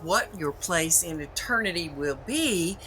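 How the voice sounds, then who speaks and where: A woman's voice: on the low side, expressive, a little slow, pushed and bright, faint music behind. A woman playing a sweetly menacing supernatural overseer of the dead in an audio drama, coaxing and mock-friendly. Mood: sternly menacing.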